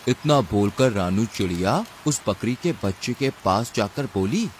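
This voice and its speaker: A man's voice: lowish voice, animated, unhurried, a little hiss or hum. A man narrating a scripted children's story.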